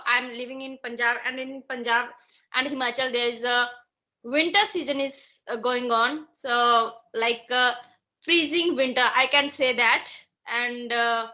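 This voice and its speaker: A woman in her thirties from India, whose first language is Hindi, chatting in English during conversation practice, warm and hesitant with many fillers. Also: sits high. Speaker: guest, English learner. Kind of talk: casual conversation practice on a call.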